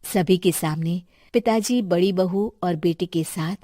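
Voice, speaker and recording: A woman's voice: fairly low pitch, a little slow, dark tone, dry recording, studio quality. A woman storyteller reading scripted narration for a family tale.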